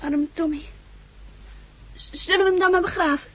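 A very high-voiced, brave little girl. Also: steady tone, normal speed, a bit bright. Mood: matter-of-fact, sad. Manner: childlike, chattering, hesitant repetitions. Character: nine-year-old orphaned girl, brave but frightened. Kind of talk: 1960s Dutch radio drama acting. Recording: noisy old radio drama recording.

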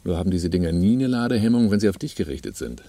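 A man's voice: low-pitched, big pitch swings, very fast, mellow. An actor playing a seasoned, unsentimental male arms dealer in a radio drama, dry and matter-of-fact. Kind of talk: radio drama dialogue and narration.

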